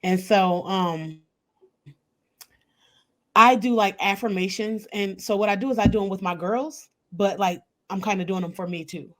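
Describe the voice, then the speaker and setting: Woman co-host, open and expressive, laughs easily. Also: mid-range voice, moderate pace. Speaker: podcast co-host. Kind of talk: casual chat.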